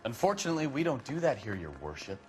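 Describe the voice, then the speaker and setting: An actor playing a sardonic, plain-spoken American police detective in a video game, wry and sarcastic. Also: male voice, mid-range voice, very animated, fast talker, over music, natural voice. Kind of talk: video game cutscene acting.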